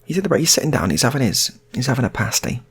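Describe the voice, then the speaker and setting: A man's voice: medium pitch, very animated, rapid-fire, husky. A British man improvising a casual comic story in a rambling, repetitive way.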